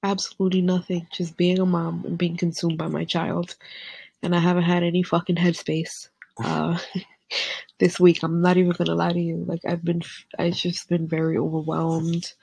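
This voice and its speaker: A young woman with a Caribbean accent, stuffed up with a head cold, chatting candidly as a podcast co-host. Her voice is gravelly and husky. The recording is dry and close. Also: lowish voice, monotone, moderate pace, a little mellow, no echo, poor audio. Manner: candid, laid-back, swears casually. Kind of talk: casual chat.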